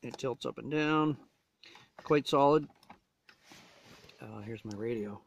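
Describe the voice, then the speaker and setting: A man's voice: medium pitch, slow pace, gravelly. A man casually demonstrating gear as a reviewer, talking in short, hesitant phrases. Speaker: gear reviewer showing a radio install.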